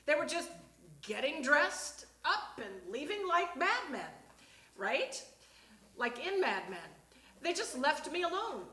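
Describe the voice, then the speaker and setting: A woman performing a comic personal monologue on stage, in a halting, conversational way with dramatic pauses. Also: sits high, sharp tone. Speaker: reader at a live storytelling show. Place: small theatre stage. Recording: echoey live stage recording.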